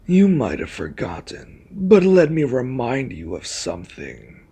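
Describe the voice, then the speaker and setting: A man voicing an arrogant, menacing reluctant ally in an ASMR roleplay, with a husky, taunting, threatening delivery. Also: mid-range voice, huge pitch swings, a little slow, mellow, heavily acted. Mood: coldly threatening.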